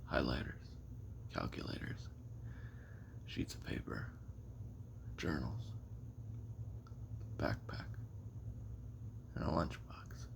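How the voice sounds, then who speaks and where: A man playing a weary, lonely, bitter assassin recording a halting, confessional audio-drama memoir in a gravelly voice. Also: rumbling low, background noise, heavily acted. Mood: subdued, haunted. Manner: halting, brooding, self-deprecating, trailing off. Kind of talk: confessional audio roleplay monologue.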